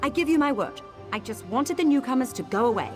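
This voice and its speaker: A woman's voice: high voice, expressive, quick pace, music in the background. An actress playing a proud, haughty noblewoman who speaks with scorn, in a video game. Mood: bitter.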